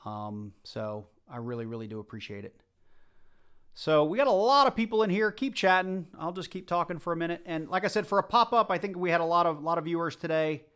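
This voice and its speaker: A man's voice: fairly high pitch, expressive, a little fast, fairly bright tone, smooth. A man with a light Southern US accent, casually chatting to his livestream viewers while hunting coins. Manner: chatty, rambling, talks to the chat. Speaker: livestream host.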